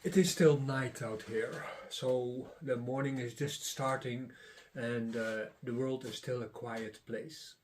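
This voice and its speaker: A middle-aged man whose first language is Dutch gives a casual lesson, pausing often with filler sounds. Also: medium pitch, steady tone, a little mellow, slight background noise. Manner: rambling, many filler sounds and restarts. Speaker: Taoist teacher and channel host.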